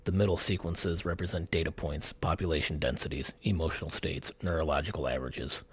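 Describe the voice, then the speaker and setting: An extremely deep-voiced man narrates a scripted mystery piece. His voice is heavily husky and gravelly, with a lot of breath in it. He delivers short dramatic phrases with suspense. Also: steady tone, brisk. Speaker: channel host narrator.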